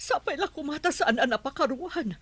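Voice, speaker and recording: Caring relative, concerned, earnest, high-ish, lively. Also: female voice, moderate pace. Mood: worried. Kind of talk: radio drama acting.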